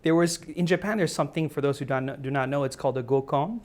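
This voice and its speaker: A man's voice: on the high side, fast. A man hosting an interview, asking rambling questions and laughing often. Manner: giggly, excitable, stumbling questions. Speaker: interview host. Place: conference venue.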